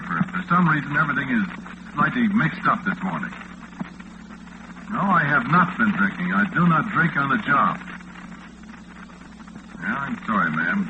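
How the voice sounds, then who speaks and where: An actor playing a bewildered, harried late-night disc jockey in a flustered, exasperated 1940s radio drama style. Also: male voice, mid-range voice, lightly acted. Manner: flustered, exasperated, muttering to himself. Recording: noisy lo-fi old radio recording.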